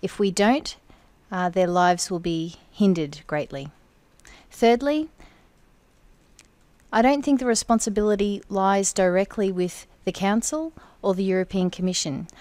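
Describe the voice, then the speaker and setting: A woman interpreting for an interview guest in the first person, speaking in measured, deliberate phrases. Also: medium pitch, a little mellow, dry recording. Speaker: sign language interpreter voicing the guest.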